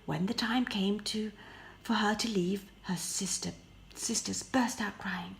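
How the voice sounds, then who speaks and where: Slow, creaky-voiced woman storyteller. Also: mid-range voice, steady tone. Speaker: storybook narrator. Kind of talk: scripted fairy-tale narration. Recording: faint background noise.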